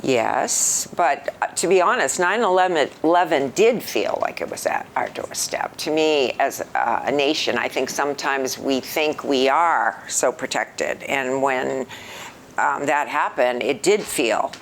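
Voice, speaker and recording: An older woman from New Hampshire answering interview questions in an earnest, thoughtful way, often pausing with "um". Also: very deep voice, creaky, a little hiss or hum. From New Hampshire, United States. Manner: thoughtful, earnest, with frequent ums. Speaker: interview guest.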